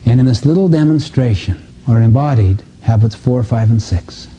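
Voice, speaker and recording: A man's voice: mid-range voice, lively intonation, normal speed, dark tone, breathy edge. A man giving a motivational seminar lecture, telling anecdotes in an earnest, emphatic way. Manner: earnest, emphatic, storytelling delivery. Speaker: seminar speaker. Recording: dull muffled lo-fi audio.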